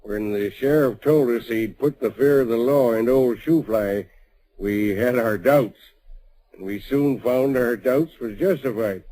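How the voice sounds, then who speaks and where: A man's voice: mid-range voice, a little slow, dark tone, lightly acted, low-quality recording. A man narrating as a homespun old-west gold miner with frontier grammar, in a vintage radio drama. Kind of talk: 1940s radio acting.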